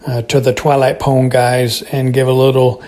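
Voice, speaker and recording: A man's voice: medium pitch, level tone, normal speed, darkish tone. A middle-aged or older American man giving a casual spoken review, hesitating and repeating words as he rambles. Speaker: listener calling in with feedback.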